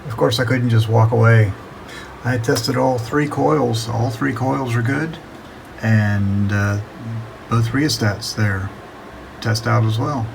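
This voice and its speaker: A man with a gravelly voice narrating a casual hands-on video, hesitantly thinking aloud as he works. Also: mid-range voice, slow, background noise. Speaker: hobbyist radio restorer narrating own video.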